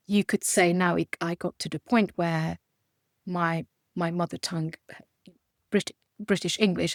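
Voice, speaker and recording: A woman's voice: fairly low pitch, creaky, no room sound, studio quality. A woman in her forties from Romania and Hungary, a native Hungarian speaker, talking animatedly as an interview guest, often restarting her sentences. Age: 40s. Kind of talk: conversational interview.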